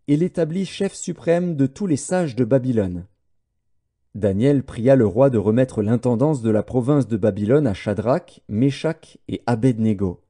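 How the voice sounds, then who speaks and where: A man narrating scripture as an audiobook in a solemn, measured way. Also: mid-range voice, mellow.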